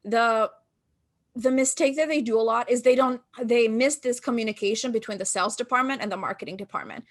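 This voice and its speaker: Lively Albanian-born woman telling her story. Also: a little high, fairly quick. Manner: animated storytelling, quotes herself and others. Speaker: guest, marketing business founder. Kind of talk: casual podcast interview.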